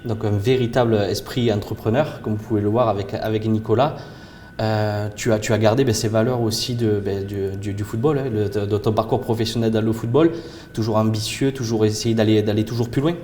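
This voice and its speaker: A man's voice: fairly low pitch, fairly even intonation, fairly quick, warm tone, slight background noise. A man hosting a local video series as a real estate adviser, introducing it enthusiastically and stumbling through his interview questions.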